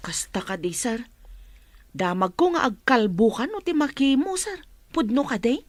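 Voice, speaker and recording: A woman's voice: a little high, expressive, normal speed, dry recording. An actress playing a curious, deferential female household helper in a radio drama.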